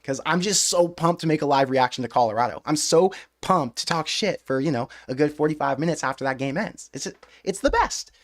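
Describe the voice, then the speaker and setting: A man hosting a live stream, chatting casually in a rambling, excitable way with mild swearing. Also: medium pitch. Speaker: live-stream host of a fan channel.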